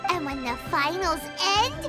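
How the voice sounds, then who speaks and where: Very high, excitable childlike companion. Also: female voice, measured pace, over loud music, exaggerated voice. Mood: excited. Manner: exclamatory, eager, childish phrasing. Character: small excitable childlike floating companion, bubbly and curious. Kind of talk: video game character acting.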